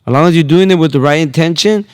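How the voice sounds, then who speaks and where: A man's voice: fairly high pitch, fast, a little mellow, clear, resonant tone, dry recording, studio quality. A man in his twenties hosting a podcast, chatting casually and informally with slangy questions. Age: 20s. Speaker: podcast host. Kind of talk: casual interview chat.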